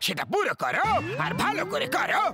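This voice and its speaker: A man's voice: very high voice, wildly swooping pitch, a little fast, full character voice. A man voicing a bossy, showy cartoon crime boss who poses as a film director. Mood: bossy, coaxing. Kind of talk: animated cartoon dubbing. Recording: loud music behind the voice. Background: loud background music.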